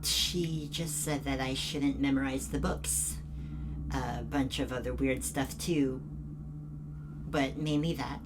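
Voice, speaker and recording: A woman's voice: rumbling low, fairly even intonation, slow pace. A woman acting in an audio drama as a guilt-ridden, frightened young student who is anxious and defensive. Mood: guarded, evasive. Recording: noticeable background noise and ambience.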